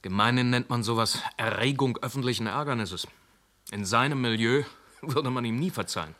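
Actor as calm inspector, mid-pitched, measured and methodical, radio play. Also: male voice, natural voice. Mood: reasoning. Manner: measured, reasoning. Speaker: police inspector. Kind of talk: radio drama acting.

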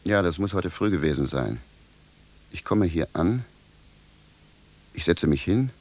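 An actor playing a simple, bewildered and stubborn village cobbler in a radio drama, speaking bluntly and with a sense of grievance. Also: male voice, low-pitched, lively intonation, measured pace, darkish tone. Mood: puzzled. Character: plain-spoken, bewildered, stubborn village cobbler, grumbling indignantly. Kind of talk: theatrical radio drama dialogue.

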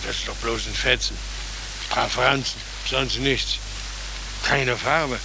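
A man's voice: mid-range voice, steady tone, takes it slowly, vocal fry. An actor playing a kindly, naive, fussily concerned policeman in a 1940s German radio drama. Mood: worried, disapproving. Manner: fretful, astonished, repetitive, solicitous. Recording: noisy old 1940s radio recording.

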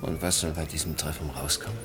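A man's voice: rumbling low, steady tone, fast. An actor in a German radio play voicing a wary, dryly ironic man who talks in clipped, polite phrases. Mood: wary, incredulous. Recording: studio radio play with prominent music. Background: music.

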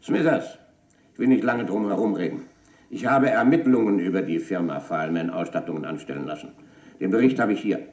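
An actor playing a stern, domineering company boss in a German radio crime play. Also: male voice, sits low, a little fast, darkish tone, reverberant, highs cut off, lightly acted. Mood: probing, cold. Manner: cutting, domineering, commanding. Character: stern, angry male company boss confronting an embezzler.

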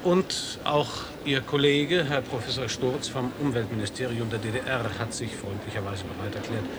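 A man's voice: medium pitch, moderate pace, background noise, lightly acted. An actor playing an American male radio reporter in a radio play, reporting in a dry, ironic manner.

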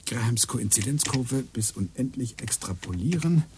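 A brooding computer engineer, played by a man in a radio play. He mutters to himself in a gravelly voice with a lively, swinging pitch. He grows more and more agitated as he talks. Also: normal speed, noisy background, natural voice.

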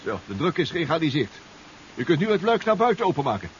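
An actor playing a calm, formal professor who pilots a submarine in a 1970s radio drama, measured and reassuring. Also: male voice, on the high side. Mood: focused, instructing. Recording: muffled old radio recording with clear noise.

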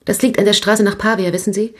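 A woman's voice: medium pitch, steady tone, quick pace, natural voice. An actress playing a nervous, talkative woman shop owner in a radio crime drama, speaking hesitantly. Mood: hesitant, confiding. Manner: hesitant, gossipy, restarting sentences.

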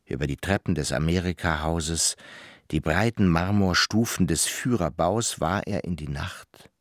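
Male narrator, low husky voice, slow measured phrasing, literary radio drama. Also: no echo, studio quality.

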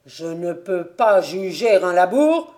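Storybook role: bitter, indignant eldest son of a farmer woman narrator performing, forceful, loudly protesting. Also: lowish voice, very animated, slow pace. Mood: furious, challenging.

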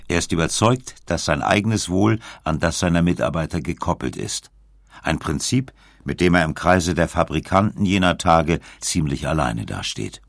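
A man narrates a scripted documentary in a very low voice with plenty of gravel. He tells it in a measured way, and the sound is dry and close. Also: no room sound.

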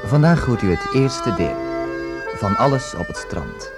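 A man's voice: mid-range voice, big pitch swings, unhurried. A man announcing a radio drama in a scripted introduction. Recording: old radio broadcast. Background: loud woodwind music.

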